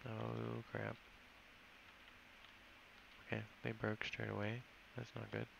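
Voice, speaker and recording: A man's voice: fairly low pitch, monotone, slow pace, gravelly, background noise. An American man from California, rambling and correcting himself as he thinks aloud in a casual game dev log recorded at his computer. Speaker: game developer.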